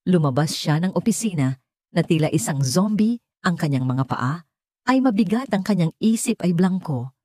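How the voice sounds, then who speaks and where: A woman reading a scripted romantic drama story as narrator, with measured, melodramatic delivery. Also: lowish voice, dark tone, no room sound, clean studio sound.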